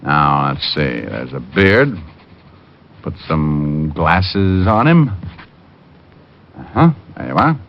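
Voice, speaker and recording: A man's voice: extremely low pitch, sing-song, slow pace, long pauses, full character voice. A man playing a cocky, wisecracking private detective in an old radio drama, breezy, sardonic and hard-boiled. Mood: scheming, brisk. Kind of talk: 1940s-50s radio detective drama acting and narration. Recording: muffled old radio recording.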